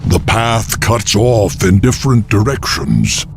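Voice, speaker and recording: A man's voice: fairly low pitch, sing-song, takes it slowly, vocal fry, very noisy, full character voice. A man playing a wise old beast-folk chieftain in a video game cinematic, speaking with solemn, cautious weight. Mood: grave, cautioning.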